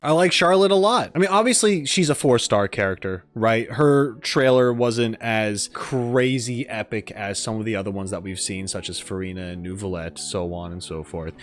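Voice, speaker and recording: A man's voice: fairly low pitch, normal speed, few pauses. A man hosting a casual video game reaction, chatty and rambling with a self-deprecating way of talking.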